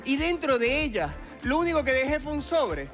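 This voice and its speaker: A man's voice: very high voice, big pitch swings, normal speed, fairly bright tone. A man in his twenties with a Venezuelan accent, performing theatrical stage magic patter and addressing judges directly through a headset mic. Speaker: stage magician, talent show contestant. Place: large TV studio theatre stage. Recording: music under stage performance. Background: music.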